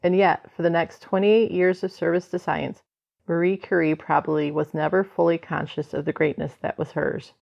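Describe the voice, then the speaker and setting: An actress playing a solemn, admiring narrator in an old-time radio drama, grave and oratorical. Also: female voice, on the low side, level tone, normal speed, gravelly, darkish tone. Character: solemn, admiring woman storyteller of a biography. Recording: slightly dull, band-limited sound.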